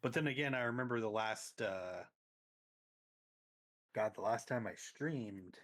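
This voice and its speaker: A man co-hosting a casual podcast, talking in a rambling, self-correcting way, his volume rising and falling with his excitement, recorded quiet in the mix. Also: on the low side, measured pace. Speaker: podcast co-host.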